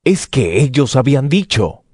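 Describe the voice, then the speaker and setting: A man's voice: medium pitch, sing-song, normal speed, darkish tone, slightly rough, dry recording. A man reading scripted narration for a dramatized audio Bible. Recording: noisy outdoor ambience effects behind. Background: outdoor sound effects.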